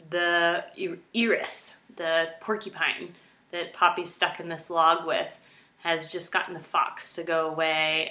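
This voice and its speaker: A woman's voice: lowish voice, pushed and bright, echoey room. A woman with an American accent reading a children's story aloud as a teacher would to a class. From United States. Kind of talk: children's read-aloud narration.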